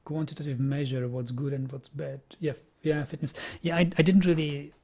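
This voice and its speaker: Young guy w/ czech accent, stream chat, restarts a lot, gravelly and kinda flat. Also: a little high. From Czech Republic. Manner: halting, repeats words while thinking aloud. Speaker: programming streamer. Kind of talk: casual live-stream chat.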